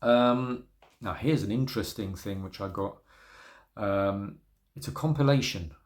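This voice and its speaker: Male record collector, lowish voice, laid-back and rambling, peppered with ums. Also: measured pace. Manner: relaxed, rambling, many ums and trailing-off asides. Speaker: record collector showing vinyl. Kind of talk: casual spoken video review.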